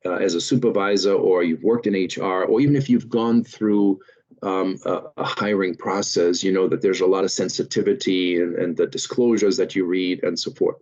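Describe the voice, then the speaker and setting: Lecturer: man, German accent, low voice, unhurried with frequent fillers, muffled webinar audio. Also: fairly even intonation, a little mellow. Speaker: lecturer, series host. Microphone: video call.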